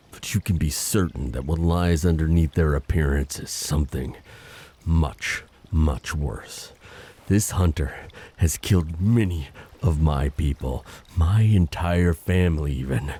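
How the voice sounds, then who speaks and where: An extremely deep, husky monster royal acted by a man. He is solemn and vengeful, with dark, formal delivery suited to an audio drama. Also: full character voice. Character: grim, vengeful monster royal with a lost kingdom. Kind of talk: scripted audio drama acting.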